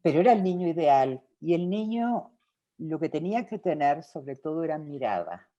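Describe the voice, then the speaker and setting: A woman's voice: low voice, sing-song, moderate pace, warm tone, highs cut off. A woman from Uruguay with a Rioplatense Spanish accent, a film director answering interview questions in a reflective way, with many fillers and restarts.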